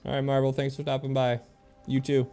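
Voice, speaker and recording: A man giving casual, low-key gameplay commentary to himself and his stream viewers. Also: completely flat delivery, a little fast, mellow, clean tone, soft background music. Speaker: game livestreamer.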